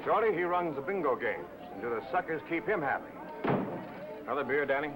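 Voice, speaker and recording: A man's voice: a little high, sing-song, a little fast. An actor playing a patient, wry barman in a 1950s crime film. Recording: old film soundtrack with music behind.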